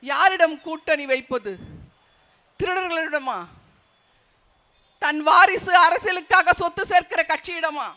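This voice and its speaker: A man's voice: very high-pitched, a little slow, long pauses, sharp tone. A man giving a fiery political rally speech as a party speaker, stringing together rhetorical questions. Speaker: party speaker at a public meeting.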